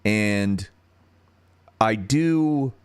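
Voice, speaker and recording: A man with a deep radio-style voice and an American accent, hosting a casual livestream and thinking out loud as he searches for words. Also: lively intonation, very slow, clear, resonant tone. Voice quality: turns nasal when singing high.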